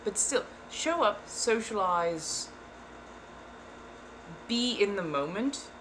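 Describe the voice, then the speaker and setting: A woman's voice: mid-range voice, animated, takes it slowly, fairly bright tone, a little hiss or hum, poor audio. Woman in a put-on persona accent giving tarot readings. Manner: theatrical, calls listeners darling. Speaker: tarot reader and livestream host. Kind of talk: live-streamed tarot card readings.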